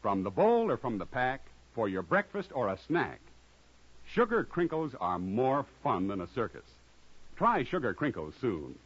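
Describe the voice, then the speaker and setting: A man's voice: medium pitch, very animated, unhurried, husky. A man announcing in vintage radio commercial style, upbeat and salesy. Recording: muffled old radio recording.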